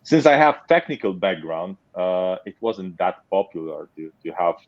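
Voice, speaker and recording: A man from Poland answers as a webinar panel guest in a low voice, halting and repeating words as he goes. The sound is dull and dry. It has a slightly lo-fi quality, with no room around it. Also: very animated, no room sound. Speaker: panel guest, tech company leader.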